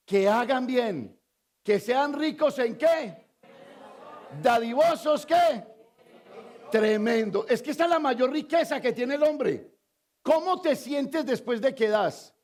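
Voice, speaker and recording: High-voiced Colombian pastor preaching. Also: male voice. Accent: Colombian Spanish. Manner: call-and-response preaching, asks the congregation to fill in words; swings between loud emphatic shouts and low confiding asides. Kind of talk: sermon.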